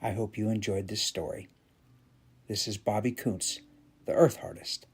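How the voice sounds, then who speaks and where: A man hosting a podcast, reading an inspirational story in a dramatic, deliberate and emphatic way. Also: on the low side, fairly even intonation, husky. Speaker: podcast host and story reader.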